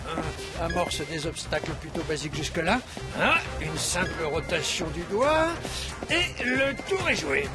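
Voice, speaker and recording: A man's voice: fairly high pitch, lively intonation, measured pace, loud music behind the voice. A man voicing a brainy, proud, hot-tempered dog in a children's cartoon, with a pompous, indignant manner. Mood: smug, lecturing. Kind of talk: animated children's series dubbing.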